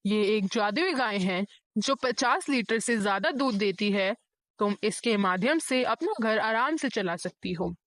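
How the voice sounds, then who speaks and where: A woman's voice: medium pitch, animated, a little slow, no room sound. A woman voicing a kindly, fatherly old holy man in a moral story. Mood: grateful, benevolent. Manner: gentle, fatherly. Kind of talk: dramatized moral story dialogue.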